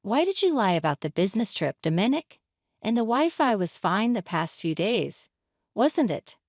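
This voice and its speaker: Female narrator as hurt, indignant wife, pleading yet confrontational, animated intonation, dry story recording. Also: medium pitch, normal speed, no room sound. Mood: angry, hurt. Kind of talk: dramatized story reading.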